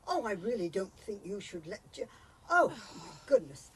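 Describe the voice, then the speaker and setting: A woman's voice: mid-range voice, big pitch swings, normal speed, lightly acted. A woman playing a fussy, scandalised, prudish British club lady in an amateur radio comedy. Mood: shocked, disapproving. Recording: faint background noise, home recording.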